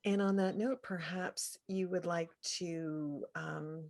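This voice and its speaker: A woman with a slightly low voice hosts an informal spiritual interview. She talks in a musing, somewhat tentative manner, as if thinking aloud. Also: fairly even intonation, takes it slowly, gravelly, darkish tone. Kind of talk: casual spiritual interview conversation.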